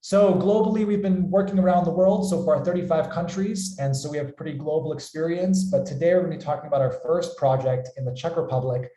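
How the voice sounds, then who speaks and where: An American man presents an online webinar in an enthusiastic way. His voice sits a little high with a soft, mellow tone. The room around him adds a clear echo. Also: fast talker, few pauses. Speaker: company founder hosting a webinar. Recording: roomy, echoey sound.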